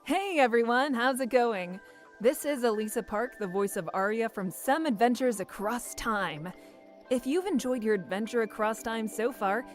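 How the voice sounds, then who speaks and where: Casting: female voice actor for audiobook promo, higher pitch, scripted, light music behind.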